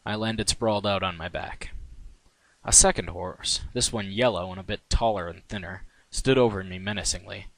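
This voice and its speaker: A man's voice: deep voice, moderate pace, a bit bright, no echo. A man reading his own first-person fan fiction in a wry, conversational way. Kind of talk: first-person story narration.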